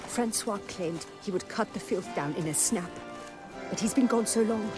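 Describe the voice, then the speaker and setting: An anxious young damsel of noble manner, acted by a woman in a fantasy game. Her speech is formal, florid and theatrical, at a middling pitch for a woman, with clear music playing behind her. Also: normal speed, close to an everyday voice. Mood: worried. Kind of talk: video game character acting.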